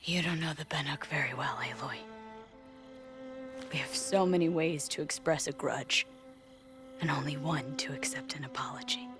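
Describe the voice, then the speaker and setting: A woman's voice: deep voice, normal speed, long pauses, soft background music, natural voice. Actress as game's proud outcast tribeswoman: grave, emotional, heavy with guilt, faint score behind. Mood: resigned, bitter. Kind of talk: video game cutscene acting.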